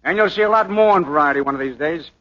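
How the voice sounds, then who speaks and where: A man's voice: fairly high pitch, fast, natural voice. An actor playing a jealous, bullying male dance partner with tough-guy slang, in the style of 1940s film acting. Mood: taunting. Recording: dull lo-fi old film soundtrack.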